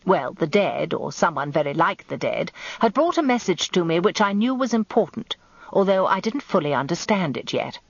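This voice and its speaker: Observant wry lawyer's wife, English accent, confiding radio drama narration, dry close sound, slightly dull recording. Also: female voice, lowish voice, sing-song, normal speed, vocal fry, no echo, exaggerated voice.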